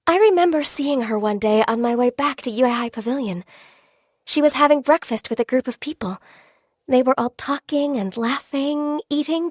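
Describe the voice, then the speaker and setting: An actress playing a gentle, modest young woman secretary in a video game, soft-spoken, polite and hesitant, easily flustered. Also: sits high, no echo, clean studio sound. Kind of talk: scripted video game character voice lines.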